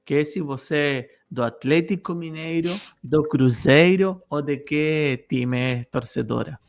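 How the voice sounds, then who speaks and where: A man co-hosts a radio conversation, his voice sitting a little high for a man. He hesitates often, filling gaps with "eh", and moves between Spanish and Portuguese. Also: unhurried. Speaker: radio show co-host. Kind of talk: radio interview hosting.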